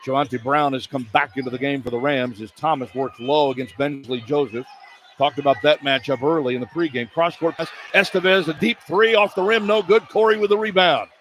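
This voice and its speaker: A man's voice: on the high side, steady tone, a little fast, a bit bright. A man doing live radio play-by-play of a basketball game, describing the action in clipped running phrases. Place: courtside in a basketball arena. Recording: clear arena noise behind the voice. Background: arena ambience.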